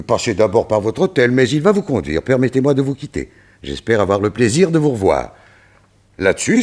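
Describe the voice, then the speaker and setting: A man playing a grave, troubled gentleman in a detective radio play, telling his account in a formal, theatrical way. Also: mid-range voice. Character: grave, troubled gentleman recounting a strange mishap.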